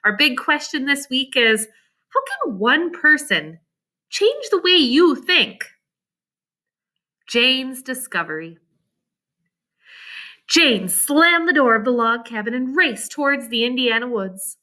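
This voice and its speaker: High, animated woman reading a storybook. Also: slow, pauses often. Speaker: teacher reading aloud to pupils. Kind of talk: expressive children's storybook narration.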